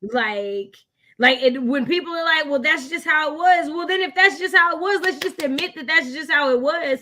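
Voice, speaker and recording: Woman, high-ish bright forceful voice, casual co-host, emphatic, opinionated. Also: normal speed, a little hiss or hum. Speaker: co-host of a book discussion. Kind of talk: casual live discussion of classic books.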